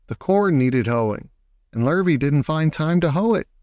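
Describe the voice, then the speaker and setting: A man narrating a children's story from a script in a measured, clearly worded way. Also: fairly high pitch, animated, a little mellow, dry recording, muffled recording. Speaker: audiobook narrator.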